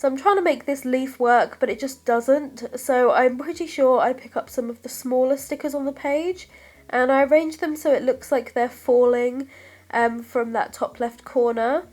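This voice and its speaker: A young woman from the UK talks through a craft voice-over with a thick head cold, her voice stuffy and slightly breathless. She chats in a friendly, apologetic way with plenty of ums, and her pitch sits a touch high. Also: fairly even intonation, normal speed, darkish tone. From United Kingdom. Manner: chatty, apologetic, lots of ums. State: congested from a cold, stuffy nose; short of breath, voice fading. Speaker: craft vlogger. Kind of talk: scrapbooking process voice-over.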